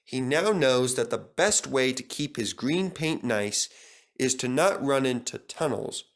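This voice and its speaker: A man's voice: mid-range voice, animated, a little slow. A man narrating a scripted children's story as a storybook reader.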